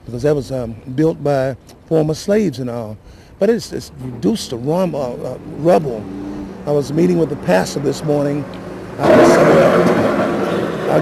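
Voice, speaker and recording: Male local official, creaky mellow voice, halting and shaken, live interview over background noise. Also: medium pitch. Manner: halting, repetitive, emotional and earnest. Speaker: city councilman giving interview. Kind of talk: unscripted live news interview.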